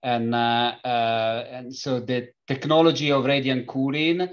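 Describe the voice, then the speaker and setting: A middle-aged man from Italy with an Italian accent, a company founder speaking as an interview guest, pausing often with filler sounds and restarts. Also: medium pitch, level tone, dull audio. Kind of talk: conversational interview.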